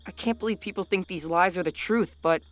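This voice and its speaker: A woman voicing an earnest young boy monk in a fan-made animated comic dub, speaking gently and pleading his case. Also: lowish voice, a little fast, soft background music, natural voice. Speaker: voice actor.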